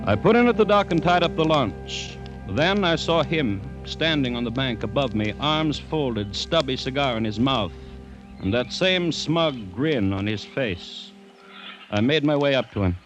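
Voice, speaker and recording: An actor playing a grave, fascinated district commissioner, narrating with deliberate, brooding drama in an old-time radio play. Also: male voice, mid-range voice, animated, lightly acted. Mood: tense, fatalistic. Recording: dull vintage radio recording with music.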